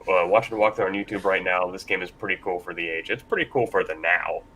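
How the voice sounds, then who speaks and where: A man's voice: fast talker, sharp tone. A man hosting a casual panel discussion, speaking in a hesitant, self-deprecating way. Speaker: panel moderator. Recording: constant rumbling background noise.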